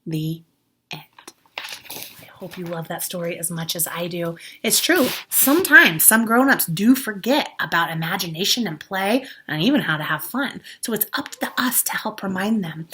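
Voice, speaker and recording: A woman reading a children's story aloud expressively, with dramatic pauses and hushed asides. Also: medium pitch, expressive, breathy edge. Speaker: storybook reader, teacher.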